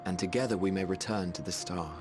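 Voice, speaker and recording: An actor playing a genial, wry mage in a video game, speaking in eloquent, formal phrases with fond admiration. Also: male voice, deep voice, steady tone, music in the background, natural voice. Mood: fond, proud. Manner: eloquent, formal, measured storytelling. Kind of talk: video game cutscene acting.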